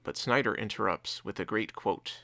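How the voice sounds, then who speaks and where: A man's voice: lowish voice, moderate pace, sharp tone, no room sound. A man co-hosting a review, casually recapping and commenting on a TV episode. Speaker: reviewer, co-host.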